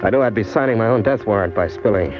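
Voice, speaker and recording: A man's voice: fairly low pitch, fast talker, natural voice. A man acting a tough, slangy, wisecracking drifter who narrates his own crime story in an old-time radio drama. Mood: grim narration. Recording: dull old radio recording with music.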